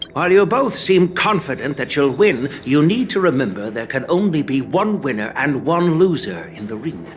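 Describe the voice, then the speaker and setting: Genial grandfatherly game elder, male actor, wry and courtly, measured yet expressive in pitch. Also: natural voice. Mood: admonishing. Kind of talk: dubbed video game cutscene dialogue.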